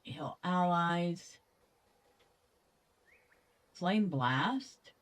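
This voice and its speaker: A woman's voice: lowish voice, lively intonation, drawn-out words. A woman giving casual game commentary, chatty and playful as she thinks aloud. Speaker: gaming video host.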